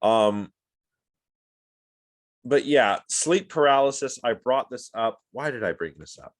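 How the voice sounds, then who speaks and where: A man's voice: medium pitch, lively intonation, slow. A man giving a casual online lecture on a video call and reacting to the chat. He is sniffly from allergies and rambles with lots of fillers and restarts.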